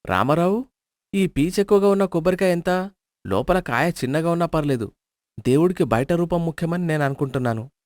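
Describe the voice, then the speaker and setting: A man's voice: fairly high pitch, lively intonation, brisk, a little mellow, no echo, clean studio sound, close to an everyday voice. A man voicing a stingy, penny-pinching middle-aged miser in a storybook, with a wheedling, wry way of talking. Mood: calculating. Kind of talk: storybook character voice.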